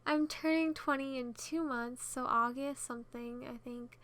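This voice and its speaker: High-pitched, chatty teenage girl vlogger. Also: fairly even intonation, measured pace, fairly bright tone. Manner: chatty, giggly, self-conscious and digressive. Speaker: YouTube gamer narrating a speed build. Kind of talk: casual rambling voiceover chat.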